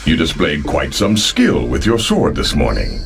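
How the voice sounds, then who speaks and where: Stern samurai lord in a game, super deep voice, formal, music behind him. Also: male voice, huge pitch swings, gravelly, heavily acted. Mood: stern, commanding. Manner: measured, authoritative, formal. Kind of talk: video game cutscene acting.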